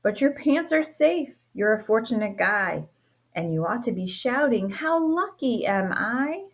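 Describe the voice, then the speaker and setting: A teacher reading a rhyming children's book aloud, playful and expressive, with a sing-song lilt. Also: female voice, a little high, unhurried. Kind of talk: storybook read-aloud.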